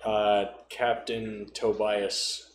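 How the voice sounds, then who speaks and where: A man's voice: fairly low pitch, level tone, drawn-out words, reverberant, slight background noise. A man in casual podcast conversation about tabletop role-playing, with a dryly joking, conversational way of talking. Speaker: podcast speaker.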